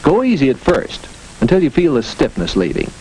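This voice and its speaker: A man's voice: mid-range voice, huge pitch swings, a little fast, warm tone. A man narrating a 1940s educational film in an instructive, folksy storytelling way, putting rhetorical questions and asides to the audience. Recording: noisy vintage 1940s film soundtrack.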